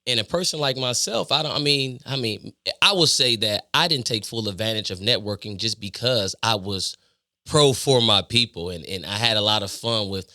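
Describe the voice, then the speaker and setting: Podcast host guy from indiana, lowish voice, kinda sharp tone, easygoing. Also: normal speed, dry recording, clean studio sound. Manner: relaxed, agreeable, conversational. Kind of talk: casual interview.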